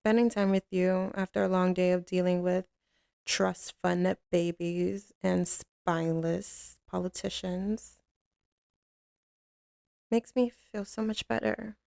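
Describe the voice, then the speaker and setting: A woman's voice: on the low side, steady tone, slow pace, creaky, darkish tone, dry recording, studio quality. A woman playing a tough, commanding mafia boss who turns tender and teasing with her boyfriend, in an intimate ASMR roleplay with streetwise talk. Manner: drawling, teasing, streetwise, with pet names.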